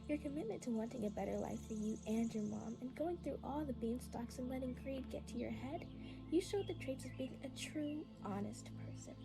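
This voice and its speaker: A woman playing a solemn little girl from a powerful otherworldly race, speaking formally and earnestly in a storybook tale. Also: a little high. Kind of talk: storybook character dialogue. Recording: music bed under the voice.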